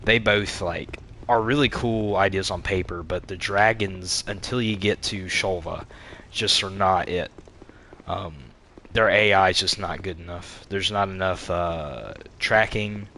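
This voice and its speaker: A man with a stuffy, congested voice, livestreaming a video game with casual, halting commentary and replies to chat. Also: low voice, fairly even intonation, bright, forceful tone, a little hiss or hum. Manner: halting, reading and answering chat aloud. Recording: game music behind the voice. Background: video game music.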